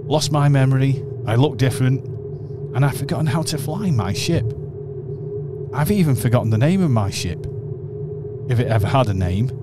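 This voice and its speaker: A man playing a calm, whimsical time-traveler. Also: medium pitch, lightly acted. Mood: musing. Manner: musing, whimsical, unhurried. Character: calm eccentric male time-traveling alien. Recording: radio play with clear background noise.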